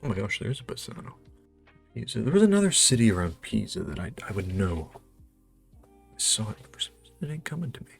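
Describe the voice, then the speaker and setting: A North American man with a fairly low voice hosts a casual quiz playthrough. He thinks out loud, often hesitating and muttering to himself. Light music plays behind him. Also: very animated, fast talker, vocal fry, slightly rough. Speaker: host playing a geography quiz. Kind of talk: casual game commentary, thinking aloud.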